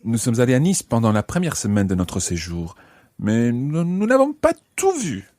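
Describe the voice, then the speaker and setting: A man's voice: mid-range voice, huge pitch swings, moderate pace, a little mellow, dry recording. A man playing an easygoing tourist on holiday in a scripted lesson radio play, speaking carefully and expressively. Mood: easygoing, thoughtful.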